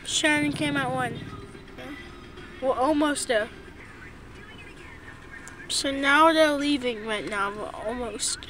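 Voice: high-pitched, takes it slowly, long pauses, pushed and bright, soft background music, low-quality recording. Someone chatting casually and playfully to camera, often repeating phrases, recorded on a phone inside a car. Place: inside a car, back seat.

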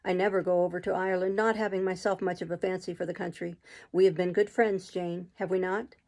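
A woman voicing a brooding middle-aged gentleman, the master of the house, speaking low and earnestly in an audiobook of a classic novel. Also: level tone, a little fast, natural voice. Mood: tender.

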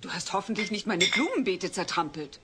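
An exasperated, sharp-witted sitcom mom. Her intonation moves a lot as she delivers dry reproaches, over faint background noise. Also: mid-range voice, sing-song, fairly quick. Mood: reproachful. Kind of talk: dubbed sitcom acting.

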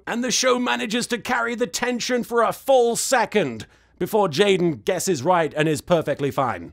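A man in his thirties delivers comedic recap commentary as a host. His voice sits high and moves through a lively range. The manner is sarcastic, expressive and easily exasperated. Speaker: host of a reaction recap show.

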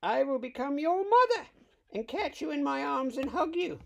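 A man voicing a gentle, determined mother bunny in an exaggerated, swooping storybook delivery. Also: very high voice, animated, normal speed, vocal fry, pitched above the performer's own voice, exaggerated voice. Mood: loving, emphatic.

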